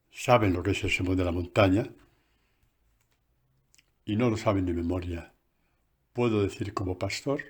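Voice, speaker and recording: A man, a priest, giving a conversational catechesis sermon recorded on a phone, animated and full of asides. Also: on the low side, breathy edge.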